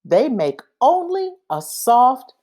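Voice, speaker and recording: A woman reading a children's picture book aloud in a deliberate, expressive manner. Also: high voice. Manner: deliberate, expressive, acts out sound effects. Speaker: read-aloud reader.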